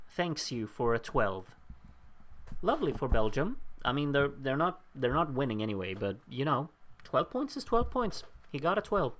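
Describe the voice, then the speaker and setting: A man hosts a live watchalong, talking casually through a scoreboard as votes come in. He reads out viewers' names with wry asides and laughs at his own stumbles. His voice sits at a middling pitch and sounds a little worn. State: losing his voice. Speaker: livestream host.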